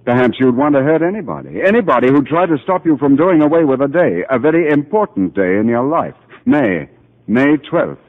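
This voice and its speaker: An actor playing a calm, patient, authoritative psychiatrist who questions with gentle insistence, in 1950 radio drama style. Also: male voice, mid-range voice, fairly quick. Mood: firm, probing. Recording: muffled narrow-band 1950 radio broadcast.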